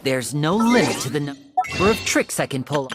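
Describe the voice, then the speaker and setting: A woman's voice: low-pitched, big pitch swings, normal speed. A woman playing a sly, confident stage magician in a video game. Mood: sly, showy. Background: loud music.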